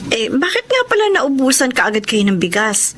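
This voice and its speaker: A woman's voice: on the high side, very animated, moderate pace, fairly bright tone, a little hiss or hum. A woman acting a consoling, chatty neighbour and friend in a Filipino radio drama. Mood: sympathetic. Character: Mila, sympathetic married woman neighbour and friend.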